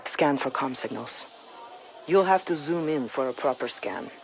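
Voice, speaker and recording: A man's voice: mid-range voice, lively intonation, measured pace, gravelly, noisy background. A man acting a focused military spotter, giving terse instructions during a mission in a shooter game. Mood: focused, controlled. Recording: loud game music over the voice.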